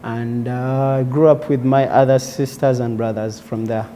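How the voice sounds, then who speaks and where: A man's voice: mid-range voice, steady tone, normal speed, dark tone. A man in his twenties with a Ugandan accent, telling his personal story conversationally as an interview guest. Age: 20s. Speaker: interview guest, former footballer.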